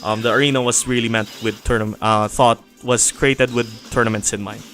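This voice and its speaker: A man's voice: medium pitch, steady tone, a little fast, fairly bright tone, soft background music. A man hosting a casual game livestream, answering viewer questions with frequent restarts and laughter. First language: not Japanese.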